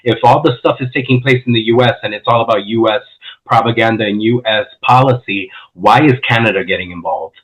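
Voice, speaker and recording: A man's voice: lowish voice, fairly quick, fairly bright tone. A man hosting a podcast, enthusiastic and friendly in casual interview talk. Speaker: podcast host. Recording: slightly dull lo-fi audio.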